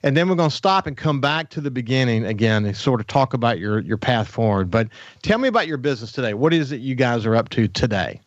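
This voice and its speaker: A man's voice: medium pitch, lively intonation, quick pace, no echo, highs cut off. A man hosting a radio talk show, interviewing guests in an upbeat, chatty way and often restarting his words. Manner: enthusiastic, repeats words as he restarts.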